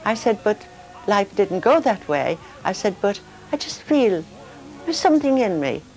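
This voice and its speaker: An older woman speaking softly and hesitantly in a documentary interview, emotional as she remembers her son. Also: medium pitch, very animated, measured pace, soft background music, poor audio. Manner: soft, hesitant, emotional reminiscing. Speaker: interviewee, mother of the subject.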